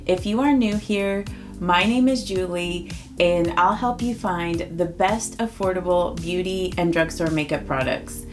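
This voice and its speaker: A woman in her late thirties chatting casually through a makeup routine, upbeat and full of fillers, her voice a touch raspy from early morning. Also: on the low side, measured pace, faint music behind. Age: 30s. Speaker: beauty vlogger. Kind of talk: casual get-ready-with-me chat.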